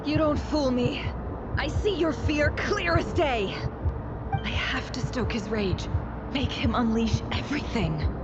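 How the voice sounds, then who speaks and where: High-voiced, composed game heroine. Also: female voice. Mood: coolly defiant. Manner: cool, measured, confident. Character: composed, defiant young female sleuth confronting a villain. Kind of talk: video game battle lines. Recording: muffled audio under loud music.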